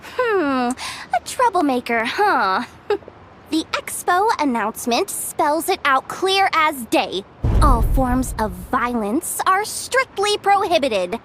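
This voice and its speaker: Brash, high-pitched young woman. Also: sing-song, slow, a bit bright, a little hiss or hum, full character voice. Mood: cocky, tough. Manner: brash, teasing, theatrical. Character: cocky, playful young woman expo guard, tough-talking. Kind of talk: video game voice acting.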